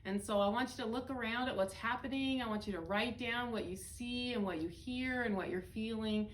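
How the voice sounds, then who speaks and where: A woman's voice: fairly high pitch, brisk, low-quality recording. A middle-aged American woman, a school principal, reading a storybook aloud expressively for children. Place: indoors, small library room with bookshelves. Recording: faint background room noise.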